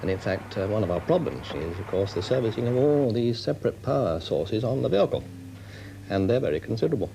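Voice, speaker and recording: A man's voice: on the low side, sing-song, fast, vocal fry, dark tone, slight background noise. A British man giving considered, wordy and formal answers as an engineering director in an interview.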